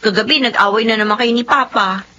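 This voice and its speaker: An actress playing an anxious, inquisitive young student daughter, plaintive and questioning, in a Filipino radio drama style. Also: female voice, on the high side, fast talker, bright, forceful tone. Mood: questioning, worried. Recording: muffled, faintly noisy radio drama audio.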